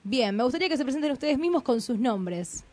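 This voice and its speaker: A woman's voice: high-pitched, lively intonation, fairly quick, a little mellow. A woman hosting a live radio interview, asking questions in a friendly, conversational way. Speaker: radio host.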